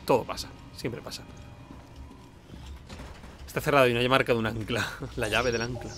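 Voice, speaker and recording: A man's voice: mid-range voice, fairly even intonation, moderate pace, noisy background. A man with an accent from Spain gives casual gameplay commentary, informal and sweary, often muttering to himself. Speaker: let's play host.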